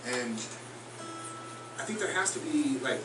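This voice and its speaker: A man, a songwriter on a panel, thinking out loud onstage as he searches for words. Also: on the high side, animated, unhurried, music in the background. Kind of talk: casual onstage discussion. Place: concert house, live in front of an audience. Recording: roomy live hall, distant, noisy.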